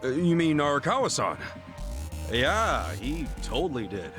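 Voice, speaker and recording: A hot-headed but earnest gangster in a video game drama, mid-pitched and very animated. He talks in loose slang with stubborn conviction, over prominent music. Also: unhurried. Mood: bluffing. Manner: casual, slangy, impulsive. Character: brash, stubborn, earnest loyal yakuza man, hot-headed. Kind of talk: English video game dub acting. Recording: music behind the voice.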